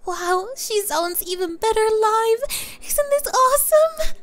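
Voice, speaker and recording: Girl doing a giddy young concert fangirl, high voice, gushy and chatty, audio roleplay. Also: expressive, slow pace, faint music behind, full character voice. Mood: thrilled. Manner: gushing, breathless enthusiasm. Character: excitable young female superfan, chatty and gushing, at a concert. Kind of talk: ASMR roleplay acting, speaking to the listener.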